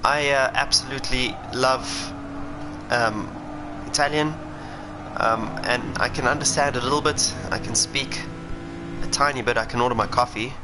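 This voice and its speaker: A man's voice: medium pitch, steady tone, normal speed. A South African man, a racing driver, chatting and thinking aloud while streaming a sim racing game. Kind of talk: live gameplay commentary. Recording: game engine noise underneath. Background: racing game car sounds.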